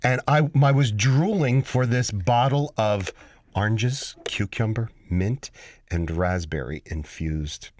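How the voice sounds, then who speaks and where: An American man co-hosting a casual radio show, talking haltingly with many fillers and restarts. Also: on the low side, darkish tone. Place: radio studio.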